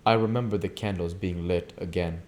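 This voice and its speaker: Low-voiced man reading a novel aloud. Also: fairly even intonation, a little fast, darkish tone. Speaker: amateur audiobook reader, channel host.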